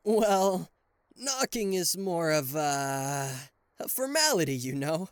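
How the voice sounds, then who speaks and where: A man playing a cocky, playful and easily flustered king of hell as an affectionate boyfriend in an ASMR roleplay, with theatrical teasing pauses and stammers. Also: on the high side, very animated, clean tone, no echo, studio quality. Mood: indignant, boastful. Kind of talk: ASMR roleplay addressed to the listener.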